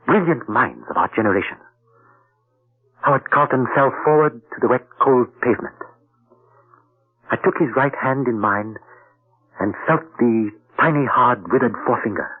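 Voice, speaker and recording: A man's voice: mid-range voice, slightly rough. A man playing a suave, polite male intelligence agent who narrates and speaks formally in proverbs, in an old radio play. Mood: grim. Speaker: narrator and lead character. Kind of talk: 1940s radio acting. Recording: tinny old radio broadcast.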